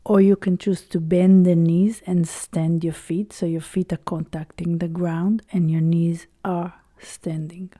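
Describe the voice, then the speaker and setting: Woman doing a guided body scan, really slow and soothing, super dark mellow tone, lots of pauses. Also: on the low side, little pitch movement, no room sound, studio quality. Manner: soothing, unhurried, with long pauses between short phrases. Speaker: meditation guide.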